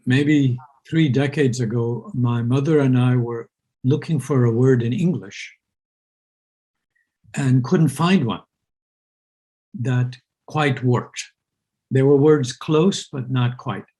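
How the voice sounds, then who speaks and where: Male host, native Urdu speaker; unhurried, husky, warm-toned, pauses to find words. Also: medium pitch. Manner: slow and searching, pauses with "uh". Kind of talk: reflective conversation.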